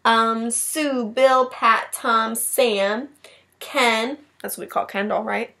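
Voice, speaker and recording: A woman's voice: a little high, fairly even intonation, slow, creaky, bright, forceful tone. A woman teacher reading a picture book aloud expressively, with casual asides to her class.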